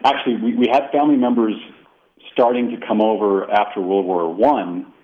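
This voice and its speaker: A man's voice: lowish voice, fairly even intonation, moderate pace. A middle-aged man, an author who grew up speaking Italian, talking reflectively in a radio interview with many fillers and restarts. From Colorado, United States. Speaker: author guest. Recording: tinny phone line.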